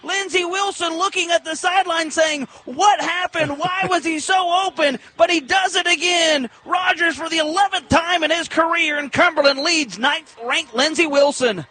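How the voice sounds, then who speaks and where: High-pitched, excitable American play-by-play man. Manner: excitable, emphatic, clipped phrasing. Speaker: radio play-by-play announcer. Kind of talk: live American football radio play-by-play commentary.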